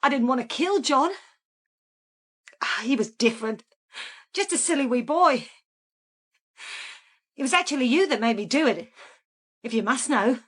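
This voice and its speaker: A calculating murderess in a radio crime drama. Her voice sits fairly high, and she recounts what she did in a plain, unrepentant manner, as if it were everyday business. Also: animated, normal speed, pauses often, husky. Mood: defiant, self-justifying. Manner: matter-of-fact, unrepentant. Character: calculating female killer calmly confessing in a police interview. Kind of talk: naturalistic radio drama acting.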